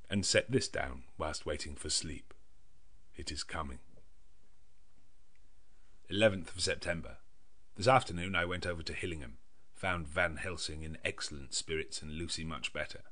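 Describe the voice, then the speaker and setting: Deep-voiced male audiobook narrator. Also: pushed and bright. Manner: measured, literary, diary-like delivery. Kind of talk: scripted narration of a gothic novel.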